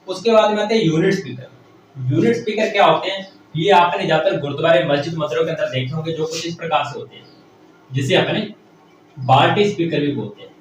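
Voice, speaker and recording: A man teaches like a classroom lecturer, explaining step by step, repeating phrases and answering his own questions. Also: fairly high pitch. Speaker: technical teacher. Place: echoey indoor room. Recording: very echoey room, distant mic, faint noise.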